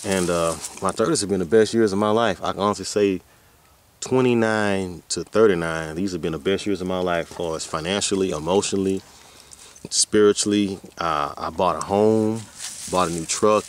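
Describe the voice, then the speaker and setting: A man's voice: low voice, moderate pace. A man of about forty, rambling casually in a folksy, colloquial way as he vlogs outdoors. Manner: folksy, colloquial, drifting into asides to his dogs. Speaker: vlogger. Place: outdoors on a hike. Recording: noisy outdoor ambience.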